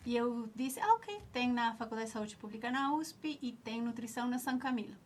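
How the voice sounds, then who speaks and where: A woman's voice: on the high side, lively intonation, fairly quick, slight background noise. A woman in her forties from Ecuador, a native Spanish speaker with an Ecuadorian Spanish accent, reflectively recalling her story as an interview guest and often restarting as she searches for words. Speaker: nutritionist, alumna guest. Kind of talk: reminiscing interview answers.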